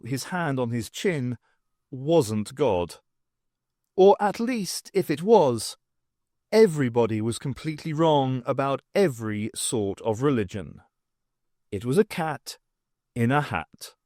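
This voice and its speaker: A man reading scripted story narration as an audiobook narrator, with expressive, dramatic phrasing. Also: a little high, no echo, clean studio sound.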